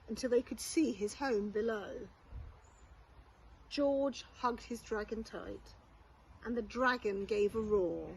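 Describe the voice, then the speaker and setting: A woman with a fairly high voice reads a bedtime story for young children. Her delivery is expressive and very unhurried, with deliberate pauses between phrases. There is some light noise in the amateur recording. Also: poor audio. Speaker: primary school teacher reading a bedtime story.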